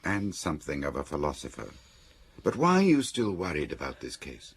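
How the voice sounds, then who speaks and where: An actor playing a detective's inquisitive confidant in a radio drama, calm and probing. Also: male voice, a bass voice, a little fast, breathy edge, heavily acted. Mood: calmly probing.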